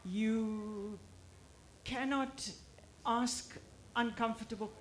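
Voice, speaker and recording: A woman author from South Africa, speaking English as an in a halting, reflective way with many pauses. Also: fairly high pitch, steady tone, slight background noise. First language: Afrikaans. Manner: pauses often, halting with fillers. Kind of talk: reflective talk at a book presentation.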